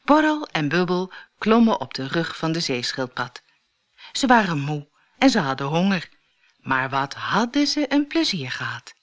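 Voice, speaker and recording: A woman narrates a children's story from a script. Her voice sits a little low and moves with lively, expressive intonation. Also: unhurried.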